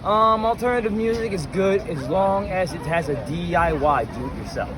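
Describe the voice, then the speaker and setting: A man's voice: high voice, animated, normal speed, crowd noise. A man chatting casually as an interviewee, hesitant and often echoing a question before he answers. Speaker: interviewee, music fan at a show. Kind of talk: casual chat. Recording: noisy outdoor urban street with traffic.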